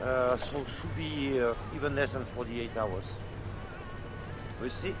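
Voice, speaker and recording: A man's voice: medium pitch, unhurried, over music. An older man, a yacht captain, chatting casually on deck in front of an action camera. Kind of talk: casual chat. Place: outdoors on the deck of a sailing yacht at sea. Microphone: action camera from a short distance.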